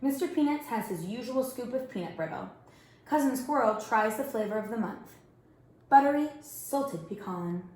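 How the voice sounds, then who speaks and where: A woman's voice: a little high, lively intonation, normal speed, darkish tone. A woman working as a storyteller reads a picture book aloud expressively, addressing young children. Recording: roomy, echoing indoor sound.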